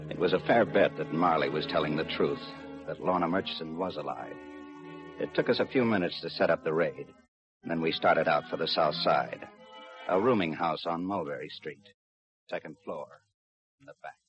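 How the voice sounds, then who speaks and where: A gruff police detective in a 1950s radio crime drama. His low voice is terse and commanding, whether narrating or pressing for answers. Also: moderate pace, highs cut off, music in the background. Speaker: lead actor and narrator. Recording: old 1950s radio broadcast.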